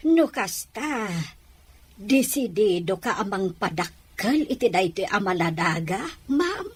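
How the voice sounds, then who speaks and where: A woman playing an attentive household nanny, deferential and expressive, in an Ilocano audio drama. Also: mid-range voice, slow pace, husky, exaggerated voice. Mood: concerned, admiring. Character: attentive household nanny addressing her mistress.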